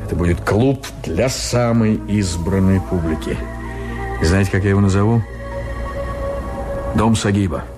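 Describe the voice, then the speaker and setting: A man's voice: sits low, normal speed, pauses often, close to an everyday voice. An actor playing a chummy, scheming male adventurer with a persuasive, emphatic way of talking in a radio drama. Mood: dreamy. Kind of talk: Soviet radio drama acting. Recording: Soviet radio play with music underneath.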